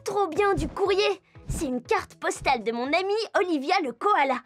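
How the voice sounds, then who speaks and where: A cheerful little cartoon girl with a high voice speaks over music. She is curious and bubbly, talking directly to young viewers as she sets off to meet animals. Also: lively intonation, normal speed. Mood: excited. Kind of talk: children's cartoon dialogue.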